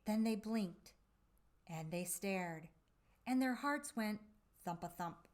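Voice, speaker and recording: A woman reading a storybook aloud for young children, with deliberate, dramatic phrasing and drawn-out emphasis on key words. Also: medium pitch, lively intonation, a little mellow. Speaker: children's storybook reader and presenter.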